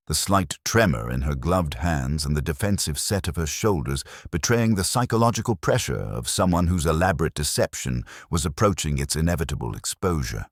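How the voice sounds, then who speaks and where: A very low-pitched male narrator reads a dramatic mystery. His delivery is formal and measured, yet the melody moves around expressively. The sound is close and dry, free of room reflections. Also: dry recording. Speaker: audiobook narrator.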